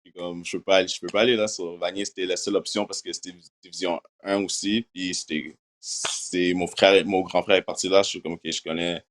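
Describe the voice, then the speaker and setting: A man's voice: sits low, flat delivery, fairly quick, a bit bright. A young man from Quebec whose first language is English, answering interview questions in casual French sprinkled with English words. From Quebec, Canada. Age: young adult. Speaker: university football player.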